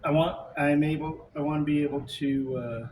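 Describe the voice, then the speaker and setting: A man chatting as a tabletop game player, halting as he searches for words. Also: a little high, fairly even intonation, darkish tone. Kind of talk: casual chat at tabletop game. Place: indoor room, group game table. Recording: roomy, distant mic, noisy.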